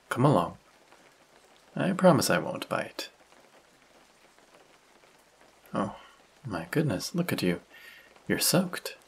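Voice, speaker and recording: A man playing a kindly, courteous vampire in an intimate audio roleplay, speaking softly and solicitously to the listener with a gentle teasing touch. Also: mid-range voice, creaky, breathy edge, full character voice. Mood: gentle concern. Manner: soft-spoken, solicitous, politely old-fashioned. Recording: close voice with rain sound effects. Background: rain sound effects.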